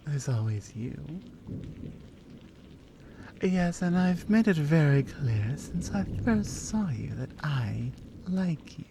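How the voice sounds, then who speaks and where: A confident, flirty lioness acted out by a woman purrs through an intimate ASMR roleplay in a low, mellow voice. Her delivery is unhurried and breathy, teasing as it slips toward whispers, with lively melodic swings over faint background noise. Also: exaggerated voice. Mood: seductive.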